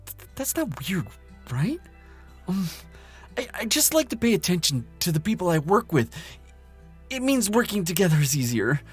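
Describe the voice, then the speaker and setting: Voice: mid-range voice, sing-song, moderate pace, long pauses, breathy edge, soft background music. A performer playing a nervous, kind lab assistant in an audio roleplay drama, hesitant and stammering. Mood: shy, earnest.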